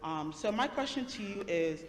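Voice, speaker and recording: A young woman student from Ghana asks a polite question from the audience, talking quickly and a little nervously. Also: medium pitch, animated. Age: young adult. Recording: echoey hall with faint noise.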